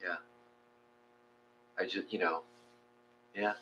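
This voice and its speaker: A man co-hosting a meditation class, talking casually with halting, friendly restarts and fillers. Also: sits low, fairly even intonation, sharp tone. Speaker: meditation co-host. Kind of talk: guided meditation and casual chat. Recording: dull lo-fi sound with faint hum.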